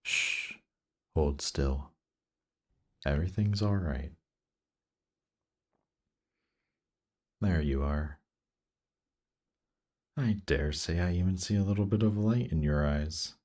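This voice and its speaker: A man playing a seductive demon prince in an intimate roleplay audio drama, teasing and coaxing in an unhurried way. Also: low-pitched, darkish tone.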